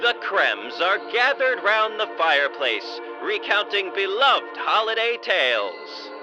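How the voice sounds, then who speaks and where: A man playing a grandiose old-time radio announcer, narrating a special with drawn-out showmanship. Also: sits high, big pitch swings, over music, full character voice, rough recording. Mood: grand, festive.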